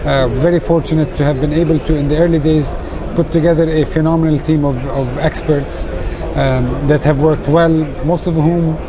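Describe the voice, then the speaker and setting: A man who grew up in Kuwait, a company CEO answering interview questions on a trade show floor, pausing often with fillers and restarts. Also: on the high side, fairly even intonation, rough recording. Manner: hesitant, many fillers and restarts. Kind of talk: casual business interview. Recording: loud trade show crowd behind.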